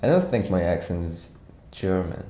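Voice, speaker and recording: A man from Iran with a Persian accent, giving an informal livestreamed walkthrough as a programming instructor, thinking aloud haltingly. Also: low voice, sing-song, dark tone. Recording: echoey room.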